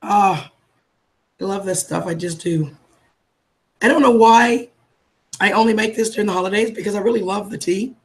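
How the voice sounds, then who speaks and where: A woman, losing her voice from a cold, chatting warmly with viewers on a live stream and calling them darling and sweetheart. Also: medium pitch, moderate pace, long pauses. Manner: affectionate, calls everyone darling and sweetheart. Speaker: livestream host. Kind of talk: casual live chat greeting viewers.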